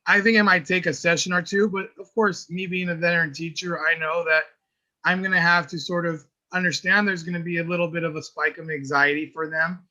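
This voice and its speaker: A man with a raspy voice who works as an early intervention teacher, talking earnestly in a casual podcast chat and often repeating words as he finds his point. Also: fairly high pitch, steady tone, a little fast, bright, forceful tone, low-quality recording.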